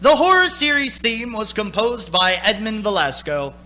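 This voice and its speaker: A man playing the narrator of a horror radio play, with dramatic, deliberate phrasing. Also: high-pitched, big pitch swings, pushed and bright, heavily acted. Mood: announcing. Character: grave, suspenseful horror story narrator. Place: stage performance of a radio play. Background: music and sound effects.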